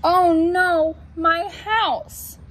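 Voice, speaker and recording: A woman's voice: high voice, expressive, slow, a bit bright, full character voice. A woman voicing a welcoming, easily bewildered beaver from a children's picture book. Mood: dismayed.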